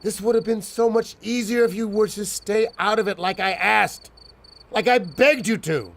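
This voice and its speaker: Man acting a high-pitched, whiny turncoat pal. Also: normal speed, gravelly, fairly bright tone. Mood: pleading. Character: Herman, whiny, cowardly older turncoat pal. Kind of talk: old-time radio adventure acting.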